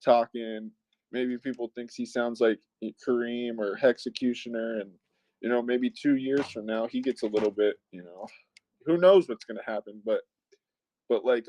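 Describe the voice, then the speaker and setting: A man's voice: mid-range voice, mellow, dry recording, poor audio. A young man in a casual voice chat, rambling and filling his speech with "like". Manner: rambling, heavy use of "like". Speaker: chat participant.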